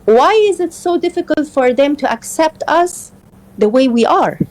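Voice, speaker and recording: High-voiced woman, native Persian speaker. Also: expressive, darkish tone, low-quality recording. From Afghanistan. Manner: earnest, halting, searching for words. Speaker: interview guest. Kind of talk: live political discussion.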